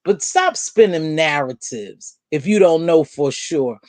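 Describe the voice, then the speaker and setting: A middle-aged woman with a deep voice, hosting a casual livestream from home and chatting bluntly with viewers, calling them baby and girl. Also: expressive, unhurried, a little mellow, no room sound. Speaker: livestream host. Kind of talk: casual live chat, answering viewer comments.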